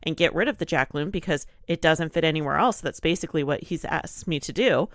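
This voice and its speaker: A woman's voice: low voice, a little fast, dry recording, clean studio sound. Congested woman reading aloud casually. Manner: relaxed, laughs often. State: congested, a little nasal. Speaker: podcast co-host.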